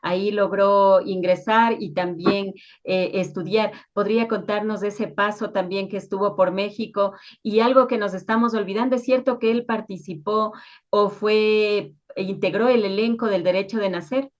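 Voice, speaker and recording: A woman's voice: medium pitch, steady tone, moderate pace. A woman interviewing conversationally, commenting and putting questions to a guest. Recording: slightly dull, lo-fi sound.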